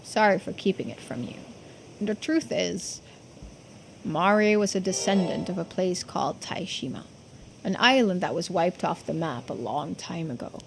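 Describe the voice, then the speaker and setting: A woman's voice: fairly low pitch, expressive, normal speed, gravelly, a little hiss or hum, poor audio. A woman voicing a folksy, earnest islander who explains old secrets in video game dialogue.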